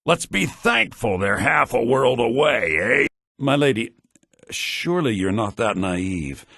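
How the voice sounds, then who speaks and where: An actor playing a haughty, grumbling fantasy townsman in a video game, theatrical and sardonic, in low-bitrate audio. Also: very animated, measured pace, gravelly, bright, forceful tone, husky, no echo, clean studio sound, full character voice. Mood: haughty, calculating. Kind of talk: video game character voice lines.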